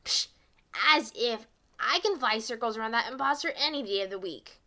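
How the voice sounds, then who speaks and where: A woman's voice: on the high side, lively intonation, moderate pace, bright, forceful tone. A woman voicing a cocky, brash tomboy flying pony in a cartoon story. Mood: boastful.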